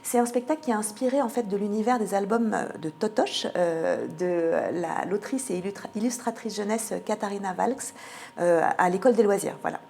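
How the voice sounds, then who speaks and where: A woman's voice: medium pitch, fairly quick, gravelly, warm tone, slight background noise. Woman explaining things with frequent hesitations. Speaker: theatre cultural programming manager, radio guest. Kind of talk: interview answers, presenting a season programme.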